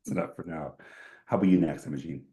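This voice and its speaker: A man who is an emergency doctor and admissions dean, briskly introducing himself and passing to the next panelist. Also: extremely low pitch, mellow.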